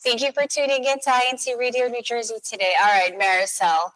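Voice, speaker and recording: A woman's voice: on the high side, steady tone, fast talker, fairly bright tone, rough recording. A woman radio host moderating a panel chat, light, chatty and self-mocking.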